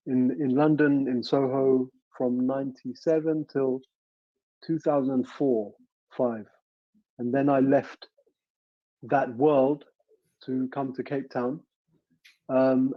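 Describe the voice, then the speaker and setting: A man's voice: mid-range voice, dry recording. Man on dull, dry remote audio. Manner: relaxed, conversational, searching for words. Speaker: filmmaker guest. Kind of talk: casual interview answers.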